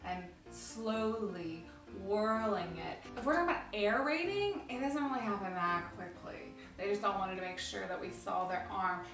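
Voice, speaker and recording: Non-native English-speaking woman co-hosting a vlog, music clear behind her. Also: medium pitch, lively intonation, roomy sound, distant mic, rough recording. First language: not English. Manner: chatty, playful, adds quick asides. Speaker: vlogger. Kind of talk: casual conversation vlog.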